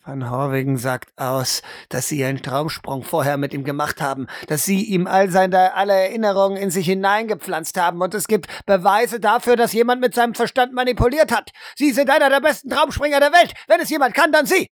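A performer playing a stern, insistent interrogator in an audio drama, deliberate and emphatic. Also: medium pitch, steady tone, dry recording, studio quality, close to an everyday voice. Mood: accusing. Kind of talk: dramatic audio play acting.

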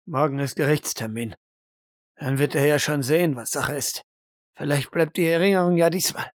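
A woman's voice: low-pitched, moderate pace, dry recording, studio quality, voice pushed down. A woman playing a frustrated interrogator in an audio roleplay, terse and exasperated. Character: frustrated interrogator probing a prisoner's dreams.

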